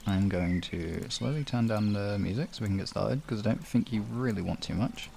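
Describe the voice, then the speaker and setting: A man's voice: on the low side, normal speed, gravelly, darkish tone, slight background noise. A man hosting a livestream, chatting casually and swearing freely. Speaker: livestream host.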